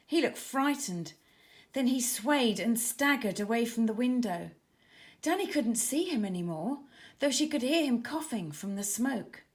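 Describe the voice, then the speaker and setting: A woman children's author reading her storybook aloud with expressive, dramatic emphasis for young listeners. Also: on the high side.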